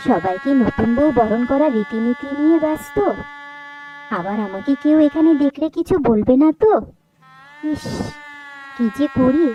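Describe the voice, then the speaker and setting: Woman acting an anxious cartoon bride, young, highish voice, hesitant, plaintive. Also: lo-fi sound, slight background noise. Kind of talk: Bengali cartoon story dialogue.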